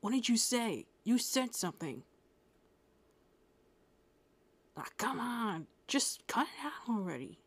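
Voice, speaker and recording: A woman playing a gruff, prickly but secretly tender young male half-demon in a roleplay spoken to the listener, brusque with a low, gravelly voice. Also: big pitch swings, normal speed, full character voice. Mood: irritated, pressing. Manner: gruff, brusque, softening into breathy murmurs. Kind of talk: roleplay audio addressed to the listener.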